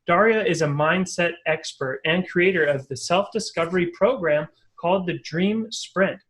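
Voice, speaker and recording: Upbeat male podcast host, slightly high. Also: a bit bright. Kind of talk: scripted intro, then interview questions.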